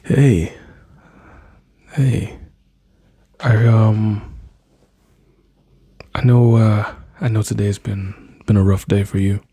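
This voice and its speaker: A man speaking softly and slowly in an intimate, soothing boyfriend-style ASMR roleplay, his low breathy voice often dropping into whispers close to the mic. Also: level tone, no echo. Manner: soft, slow, soothing, intimate address to listener.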